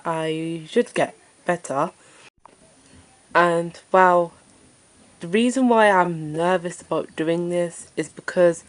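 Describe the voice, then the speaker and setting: Woman, very low deep voice, nervous casual host introducing her story channel, lots of fillers. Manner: nervous, hesitant, many fillers. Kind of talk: casual self-introduction to camera.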